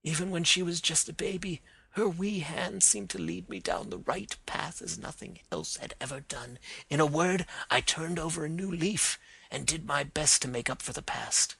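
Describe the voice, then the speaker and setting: A man's voice: a little high, expressive, normal speed, vocal fry, sharp tone, breathy edge, no echo, exaggerated voice. A man voicing a dying older man who pleads and confesses with emotion. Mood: confessing. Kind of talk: dramatized reading of a detective story.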